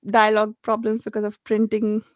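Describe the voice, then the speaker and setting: A woman's voice: medium pitch, completely flat delivery, brisk, a little mellow, dry recording, dull audio. A woman in a casual book discussion, talking in a rambling, enthusiastic way.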